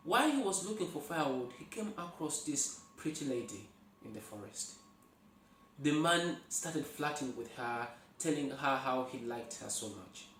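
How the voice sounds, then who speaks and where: A man from Uganda with a Ugandan accent and Luganda as his native language tells folktales in an animated way, speaking directly to his listeners. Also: medium pitch, normal speed, a little hiss or hum. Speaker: storyteller, host. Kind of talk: oral folktale storytelling. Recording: echoey room, far from mic.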